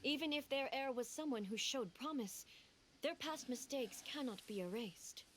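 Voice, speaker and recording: A woman voicing a composed, regal female deity in a game, measured and formal. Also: high-pitched, lively intonation, a bit bright, a little hiss or hum. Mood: solemn. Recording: game audio with music behind.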